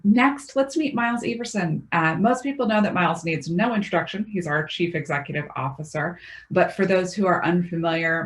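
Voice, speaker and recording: A woman moderating an online roundtable, introducing panelists with friendly, self-deprecating asides. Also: low-pitched.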